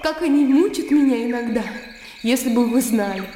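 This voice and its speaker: An actress playing a naive, blunt young country gentlewoman in a Russian radio drama, opinionated and emotional. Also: on the high side, normal speed, a little hiss or hum, lightly acted. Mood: scornful, heated. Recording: roomy long reverb with music behind.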